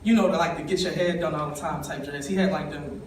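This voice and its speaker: High-voiced young man telling his story. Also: fairly even intonation, fast talker, slight background noise. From East Baltimore. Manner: emotional, conversational, addresses the audience directly. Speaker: summit speaker. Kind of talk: personal storytelling speech. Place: conference hall. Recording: echoey room, voice from a distance.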